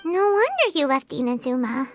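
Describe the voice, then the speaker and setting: A woman voicing a tiny, chirpy, childlike fairy companion with a squeaky, perky delivery in a video game. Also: sing-song, normal speed. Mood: curious, supportive. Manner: perky, refers to self in third person. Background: background music.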